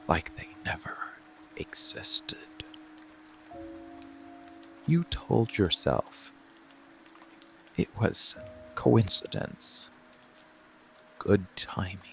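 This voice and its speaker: Man acting a gravelly, slow-murmuring monster boyfriend. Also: exaggerated voice. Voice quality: low, gravelly, breathy murmur often near a whisper. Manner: slow, deliberate delivery with long pauses. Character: possessive dark monster boyfriend, hidden protective watcher. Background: rain and music.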